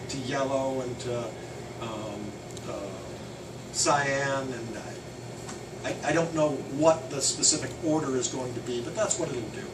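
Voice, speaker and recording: A man giving a casual, hands-on software training walkthrough, loud and emphatic on key points. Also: on the high side. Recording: roomy, distant, noisy air conditioning.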